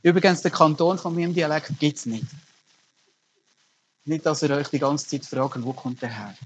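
A man's voice: on the high side, normal speed, a little hiss or hum, low-quality recording. A middle-aged man, preaching a sermon as a pastor and telling stories earnestly with emphatic rises. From Germany.

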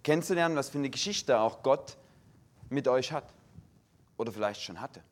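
A young man from Saxony giving a sermon in a full church hall in standard German with a faint Saxon tinge, slow and measured, stressing single words. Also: medium pitch, expressive. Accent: standard German with a slight Saxon tinge. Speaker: guest preacher.

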